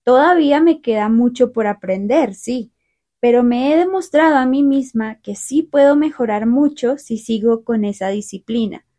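A young woman hosting a learner podcast, talking through a calm, clear, scripted monologue. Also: on the high side, normal speed. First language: Spanish. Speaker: podcast host.

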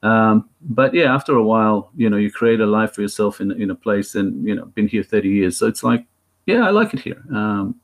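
A man in his thirties with an Israeli accent, a filmmaker talking as a guest in an interview, thoughtful and halting with many restarts. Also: lowish voice, animated. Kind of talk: interview conversation.

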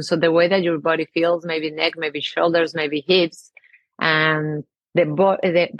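A woman with a Mexican Spanish accent talks as a guest in a casual interview. She speaks gently and feels her way through her phrases. Her voice is low and breathy, and it is recorded close and dry. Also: no room sound, dull audio. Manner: gentle and searching, many restarts and "I mean". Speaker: yoga teacher and podcast guest.

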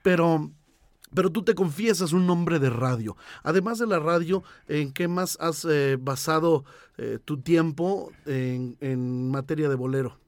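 A male interviewer with a slightly high voice asks conversational questions. His phrasing is halting and takes the long way round. The recording is dry, with little room sound. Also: no room sound.

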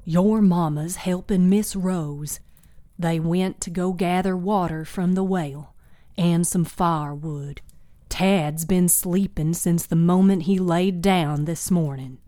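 A woman's voice: lowish voice, slow pace, warm tone, no echo, clean studio sound. A woman voicing a sardonic older female healer in a storybook, plainspoken and folksy. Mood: weary, matter-of-fact.

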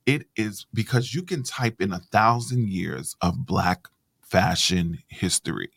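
A man hosting a casual interview, chatty and encouraging, often affirming his guest with quick backchannels. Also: low voice, vocal fry, a bit bright, breathy edge, dry recording. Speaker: host.

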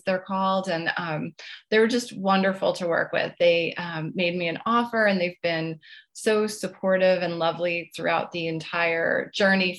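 A middle-aged woman with a slightly low voice answers as a guest author in an interview. She talks in a chatty, conversational way, softening her points with small hedges as she goes. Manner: chatty, hedging with "you know" and "I guess".

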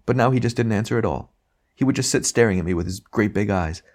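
A man's voice: fairly low pitch, quick pace, darkish tone, voice pushed up, close to an everyday voice. A man voicing the ghost of a domineering wife, complaining and self-righteous in an audiobook reading.

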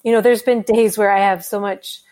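Woman, podcast guest, mid-pitched voice, earnest, thoughtful, gentle laugh. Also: fairly even intonation, normal speed. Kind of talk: conversational interview.

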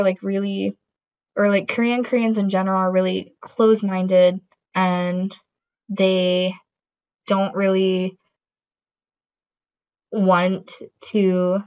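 A woman's voice: mid-range voice, darkish tone. A woman in casual conversation who rambles, with many fillers and restarts. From Latin American heritage. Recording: dull lo-fi audio.

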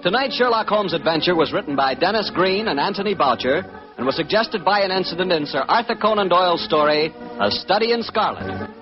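A man's voice: high-pitched, animated, a little fast. A man announcing in polished 1940s radio style. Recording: muffled 1940s radio broadcast. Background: music.

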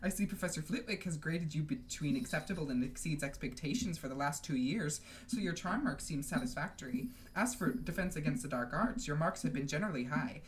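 A woman's voice: sits low, animated, a little fast, few pauses, a little hiss or hum. A woman voicing a stern, curt older schoolmistress with a clipped, exasperated, formal manner, as in a storybook. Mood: firm.

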